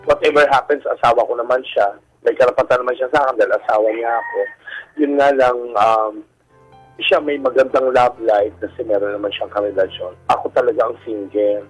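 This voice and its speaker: A Filipino man with a husky, effeminate way of speaking, candidly telling his personal story as a guest in an interview. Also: mid-range voice, fairly even intonation, brisk, low-quality recording. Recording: radio broadcast with music underneath. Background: background music.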